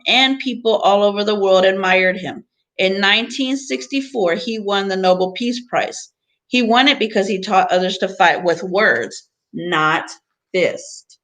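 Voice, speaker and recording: A woman teacher reading a picture book aloud to students, phrasing deliberately in short pieces and adding teaching asides. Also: mid-range voice, a bit bright.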